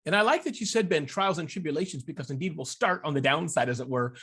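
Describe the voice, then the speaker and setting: This is a man with a clearly high-pitched voice for a male speaker. He hosts a podcast and talks with effusive enthusiasm. His style is wordy and jokey, moving between upbeat intro patter and chatty interview questions. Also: lively intonation, quick pace, a bit bright.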